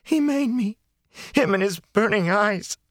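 A man voicing a filthy, cringing male beggar who whines and then turns smooth, unctuous and haughty. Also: lowish voice, animated, measured pace, a bit bright, no echo, studio quality, pitched above the performer's own voice. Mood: whining.

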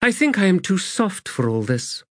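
Guy doing a thoughtful soft hearted lady, higher voice, earnest, audiobook. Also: very animated, normal speed, no room sound, clean studio sound. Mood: musing. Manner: musing, earnest. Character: reflective, soft-hearted woman riding with an army.

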